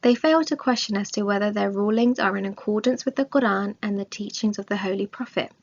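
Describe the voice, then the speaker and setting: A woman reading a scripted religious study aloud in a measured, formal way. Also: mid-range voice, gravelly. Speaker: reader of religious study text. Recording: dull, lo-fi sound.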